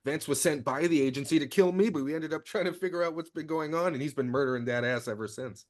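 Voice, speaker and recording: A man's voice: fairly high pitch, quick pace, lightly acted. A man playing an earnest, profane secret agent in a comedic table read. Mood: urgent explaining.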